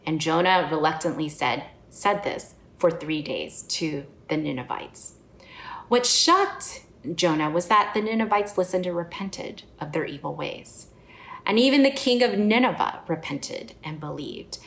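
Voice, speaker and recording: A woman's voice: lowish voice, animated, measured pace, fairly bright tone. Earnest woman preaching in an echoey room. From United States. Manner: earnest, personal and reflective. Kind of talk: sermon.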